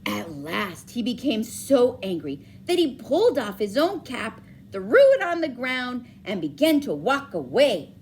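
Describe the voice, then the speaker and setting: A woman reading a children's story aloud expressively, with dramatic emphasis and drawn-out words. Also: fairly high pitch, very animated, darkish tone, a little hiss or hum. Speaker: storybook reader for schoolchildren.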